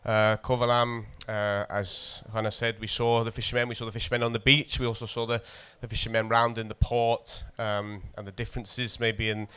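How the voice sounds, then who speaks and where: A man from North Wales with a North Welsh accent, a poet introducing and reading poetry at a festival in a measured, deliberate way. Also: on the low side, little pitch movement, pushed and bright, clear, resonant tone. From coastal North Wales.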